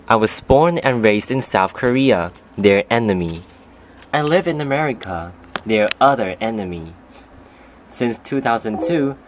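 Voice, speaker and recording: A young man recites a prepared speech at a steady, measured pace, with the careful delivery of a student reading aloud. His voice sits in an ordinary middle pitch. A faint hiss of noise runs underneath.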